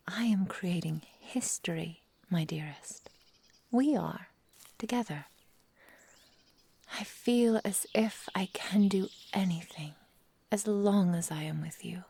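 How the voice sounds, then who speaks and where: A woman playing an adoring, possessive immortal forest witch in an intimate ASMR roleplay, with soft whispery speech, tender endearments and formal storybook phrasing. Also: lowish voice, takes it slowly, long pauses, husky, heavily acted. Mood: devoted, resolute. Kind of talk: intimate ASMR roleplay, slow dramatic delivery.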